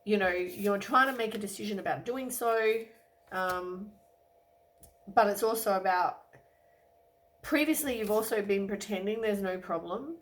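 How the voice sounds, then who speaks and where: A woman with a croaky voice from a cold, giving a relaxed, rambling tarot reading to the camera with lots of ums. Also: medium pitch, measured pace, pauses often, low-quality recording. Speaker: tarot reader.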